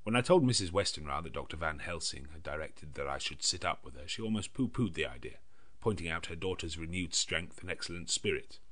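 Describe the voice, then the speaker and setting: A man narrating a gothic novel in a measured, clearly enunciated literary manner. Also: sits low, expressive, fairly bright tone. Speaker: audiobook narrator. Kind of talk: scripted narration of a gothic novel in diary form.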